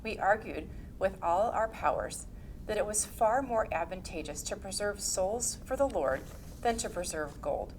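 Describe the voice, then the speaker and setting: A woman giving a measured, formal scripted reading of a historical religious text. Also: medium pitch, expressive, a little mellow, slight background noise, low-quality recording. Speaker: reader.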